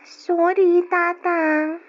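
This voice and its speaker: A woman's voice: very high-pitched, flat delivery, extremely slow, exaggerated voice. A woman playing a sweet, generous young daughter who pleads with her parents in an old Ilocano radio drama. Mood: pleading, respectful. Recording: dull lo-fi radio recording.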